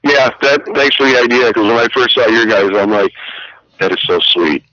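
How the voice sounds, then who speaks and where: A man's voice: medium pitch, normal speed, bright, forceful tone, lo-fi sound. A man co-hosting a podcast in casual chat, teasing and laughing often. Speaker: podcast co-host.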